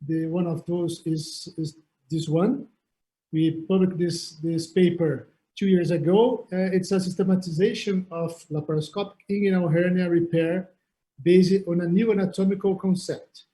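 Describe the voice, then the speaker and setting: A man from Brazil with a Brazilian Portuguese accent, giving an online surgical anatomy lecture over a video call in halting English, often repeating words. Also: sits high, steady tone, warm tone, poor audio. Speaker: surgeon lecturer.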